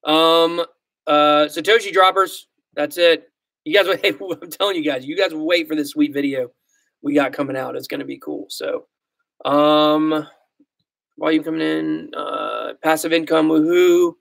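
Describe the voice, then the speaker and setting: A man's voice: a little high, unhurried, creaky, fairly bright tone, no echo. A man in his thirties with a Southern US accent, chatting casually on a livestream as host, rambling and self-deprecating, with lots of ums and likes. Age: 30s. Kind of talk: casual livestream chat reading comments.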